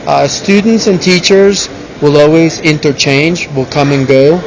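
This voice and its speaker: A man's voice: on the high side, unhurried, poor audio. A man in his early forties, raised in the United States, answering interview questions. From born in Colombia, raised in the United States. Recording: busy public place, crowd noise. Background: crowd noise.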